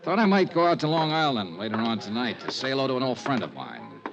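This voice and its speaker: Hard-boiled investigator, very animated delivery. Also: male voice, medium pitch, fast. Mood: casually probing. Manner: clipped hard-boiled narration and probing questions. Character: shrewd, smooth-talking male insurance investigator narrating his case. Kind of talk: 1950s radio detective drama acting. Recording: old muffled radio recording, faint music.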